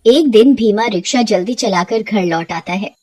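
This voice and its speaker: A woman reading scripted narration for an animated story. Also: medium pitch, normal speed, breathy edge.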